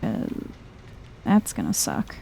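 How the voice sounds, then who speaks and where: A soft-spoken woman doing chill, playful ASMR game commentary, often close to a whisper. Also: slow pace, over music. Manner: chill, playful muttering to herself. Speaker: gaming video host.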